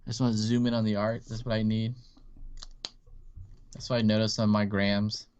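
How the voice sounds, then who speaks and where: A man from Dallas, with a voice on the low side, chats as a guest on a live drawing stream. He talks in a relaxed, rambling way and swears casually. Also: moderate pace, highs cut off, a little hiss or hum. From Dallas, Texas. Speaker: guest artist.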